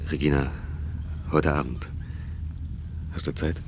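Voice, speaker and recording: Casting: preoccupied, evasive boyfriend, very deep bass, halting delivery, slight background noise. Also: male voice, steady tone, exaggerated voice. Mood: guarded, uneasy. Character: evasive, uneasy male lover, guarded and preoccupied. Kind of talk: German radio play acting.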